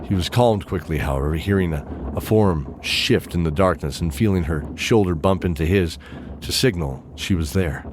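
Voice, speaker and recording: A man narrating a scripted fantasy drama in measured, dramatic phrases with deliberate pauses. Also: deep voice, background noise.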